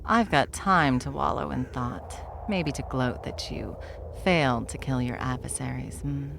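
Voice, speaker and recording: A woman playing an ancient, smug, wry stranger in an ASMR fantasy roleplay, with theatrical, taunting delivery and a gravelly voice. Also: a bass voice, fairly bright tone, a little hiss or hum, exaggerated voice. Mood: smug teasing. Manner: sardonic, theatrical, unhurried taunting. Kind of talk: ASMR audio roleplay acting.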